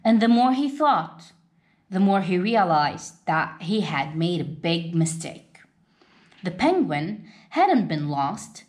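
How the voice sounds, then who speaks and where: A woman reading a children's picture book aloud as a storyteller, with expressive, dramatic delivery. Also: fairly low pitch, takes it slowly.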